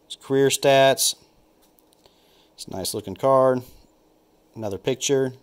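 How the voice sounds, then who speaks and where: A man's voice: mid-range voice, a little slow. A man opening packs of football trading cards, thinking aloud and naming each card as he flips through them. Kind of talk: casual unboxing commentary.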